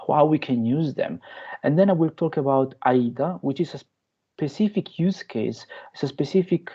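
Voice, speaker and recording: A young adult man with an Italian accent giving an online academic lecture, pausing often with fillers and restarts. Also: medium pitch, lo-fi sound. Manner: hesitant, many fillers and restarts. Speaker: guest lecturer. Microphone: video call.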